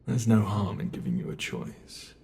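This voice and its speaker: A man voicing a sadistic male monster in an ASMR horror roleplay, talking in a slow, taunting way that relishes cruelty. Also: lowish voice, big pitch swings, vocal fry, dark tone, slight background noise, heavily acted. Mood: playful, sadistic.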